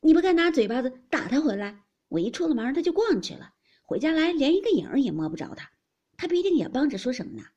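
A woman voicing a shrewd, brisk noblewoman who gives orders. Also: a little high, very animated, warm tone, husky, highs cut off, full character voice.

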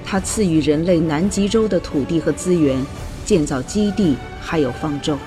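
A woman playing a solemn scientist in an audio drama, reciting prophetic scripture in a measured way. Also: low-pitched, over music, lightly acted.

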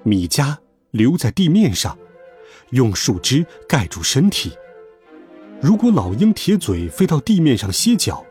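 A man narrating a children's story in an expressive, scripted storytelling style. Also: medium pitch, unhurried. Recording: music behind the voice. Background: music.

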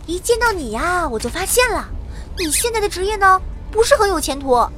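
A woman's voice: very high-pitched, big pitch swings, brisk, a bit bright, a little hiss or hum, heavily acted. A woman playing a chatty young mage girl, timid yet cocky, in a Chinese audio drama. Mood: cheeky. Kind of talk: Chinese audio drama acting, first-person narration and dialogue.

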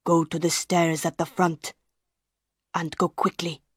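A tall, mysterious man who speaks low and quietly in short commanding phrases, as a woman reader puts him on. His words come slowly over a dry, close recording. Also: flat delivery, breathy edge, dry recording. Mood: curt warning.

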